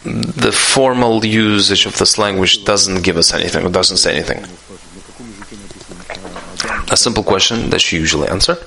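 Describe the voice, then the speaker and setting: A man giving a live spoken interpretation of a question-and-answer session, halting often with restarts and fillers. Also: sits low, vocal fry, sharp tone, low-quality recording.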